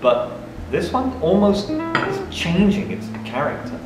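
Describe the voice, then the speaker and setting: A young man hosts a relaxed coffee tasting, talking with enthusiasm and often restarting his thoughts. He sounds set back from the microphone in a reverberant cafe room. Music plays clearly behind him. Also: high voice, unhurried. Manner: enthusiastic, thinks aloud with restarts. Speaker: coffee reviewer and show host. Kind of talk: casual tasting chat. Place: dim cafe interior, in a small room. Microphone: far camera mic. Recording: roomy, distant, with music behind. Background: background music.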